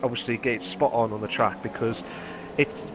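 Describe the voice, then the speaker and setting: A man co-commentating on a sim racing event, excitable and prone to loud exclamations. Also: mid-range voice, normal speed, background noise. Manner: excitable, shouts and exclaims over the action.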